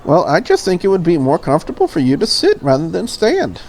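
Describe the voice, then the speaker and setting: Visual novel maid, voiced by a woman: courteous, deferential, quietly curious, measured wording with animated intonation. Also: on the low side. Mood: courteous, tactful. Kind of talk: visual novel character read aloud.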